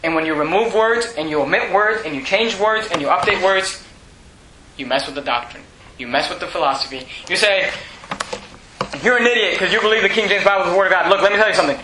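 A man's voice: high-pitched, fast talker. A man from Venezuela whose first language is Spanish, preaching a sermon with emphatic rhetorical questions and frequent restarts. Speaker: pastor. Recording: echoey room with faint noise.

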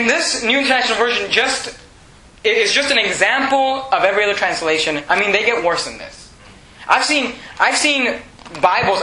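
A man's voice: high-pitched, pushed and bright. A man from Venezuela whose first language is Spanish, preaching a sermon with emphatic rhetorical questions and frequent restarts. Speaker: pastor. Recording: echoey room with faint noise.

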